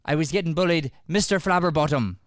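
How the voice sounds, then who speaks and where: A stern, pompous Irish schoolmaster played by a man in an improvised radio comedy, with a fairly high, clear voice and a close, dry sound. He scolds with emphasis but has a forgiving streak. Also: fast, no room sound, close to an everyday voice. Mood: firm, forgiving.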